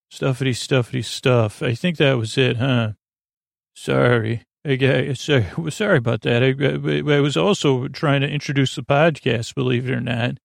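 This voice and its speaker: A man hosting a bedtime podcast in a meandering, halting monologue, often interrupting himself, with a gently soothing, apologetic manner. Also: mid-range voice, vocal fry, dry recording, clean studio sound. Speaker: podcast host.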